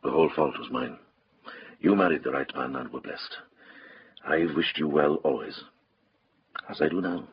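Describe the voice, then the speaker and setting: An actor playing an observant, kindly older monk in a radio drama, speaking in a gentle, measured and probing manner. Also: male voice, rumbling low, lo-fi sound, heavily acted. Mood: tender, resigned. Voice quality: gravelly, husky.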